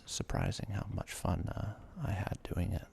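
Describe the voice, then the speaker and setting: A man giving ASMR let's play commentary in a breathy, gravelly whisper, close to the microphone, with relaxed, self-deprecating asides. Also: deep voice, normal speed. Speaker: host of a gaming ASMR series.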